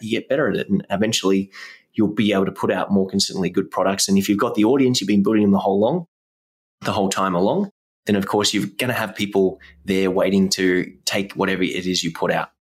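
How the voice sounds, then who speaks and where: A man hosting a podcast and giving motivational advice in casual interview talk. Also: low-pitched. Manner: motivational, coaching tone. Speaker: podcast host and guitar teacher.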